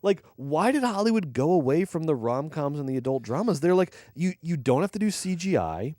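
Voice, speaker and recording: An animated man co-hosting a movie podcast, his pitch jumping around as he talks. He rambles through fillers and restarts and swears freely. The sound is dry and close. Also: fairly quick, dry recording. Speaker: podcast co-host. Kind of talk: casual movie podcast chat.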